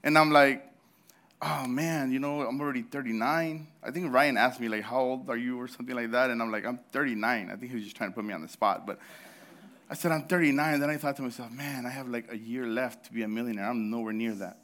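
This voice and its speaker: A man in his thirties preaching at a church service with an animated, storytelling delivery. He breaks into laughs and restarts his sentences, and his voice sits in a middle pitch. His throat is a little sore. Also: fast talker. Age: 30s. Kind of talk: sermon.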